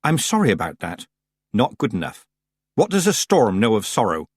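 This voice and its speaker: A man's voice: on the low side, moderate pace, slightly rough, no echo, studio quality, heavily acted. A man doing an icy, stern old witch. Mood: icy calm. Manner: calm, clipped, coldly controlled.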